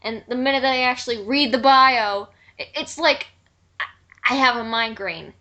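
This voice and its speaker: Woman, fairly high register, exasperated and blunt, casual group critique for an online video. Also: normal speed, sharp tone.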